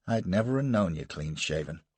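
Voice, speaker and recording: Brief: man playing a roaring, scornful American colonel, deep husky voice, lively swings. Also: moderate pace, dry recording. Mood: blustering. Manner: barking, scornful, booming outbursts. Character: gruff brawling American colonel, blustering.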